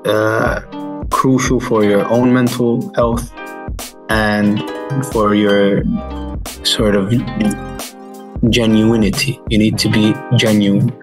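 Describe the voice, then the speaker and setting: A soft-spoken man in his thirties. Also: fairly low pitch, little pitch movement, slow, pauses often, over music. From Finland. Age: 30s. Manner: thoughtful, with many fillers and restarts. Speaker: guest. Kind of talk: reflective interview answers.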